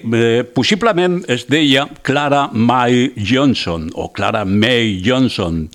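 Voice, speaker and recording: A man hosting a music show, introducing songs in a casual way, hesitating with filler sounds. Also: mid-range voice. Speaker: radio music show host.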